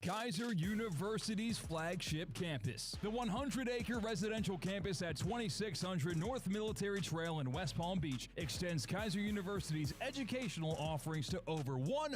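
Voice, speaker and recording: Male radio ad announcer, high-pitched voice, polished promotional narration over a clear music bed. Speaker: advertisement announcer.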